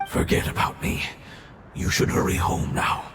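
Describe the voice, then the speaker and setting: A man playing a weary, dying guardian creature in a video game, speaking solemnly and haltingly in a breathy rasp. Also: echoey room, over music, lightly acted. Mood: grave, earnest. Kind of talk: dramatic video game voice acting.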